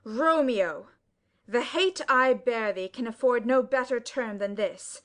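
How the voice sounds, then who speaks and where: Villainous young hothead played by a woman, fairly high voice, curt and haughty, spoiling for a duel in classical verse. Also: slow. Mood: hostile, challenging. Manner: curt, challenging. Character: haughty, aggressive young male duelist, villain. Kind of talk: Shakespeare audiobook dramatic reading.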